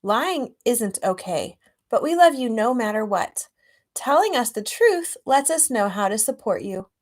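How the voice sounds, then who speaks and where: A woman reading a children's storybook aloud expressively and gently, with chatty asides to young listeners. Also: a little high, sing-song, measured pace. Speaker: children's author reading own book aloud.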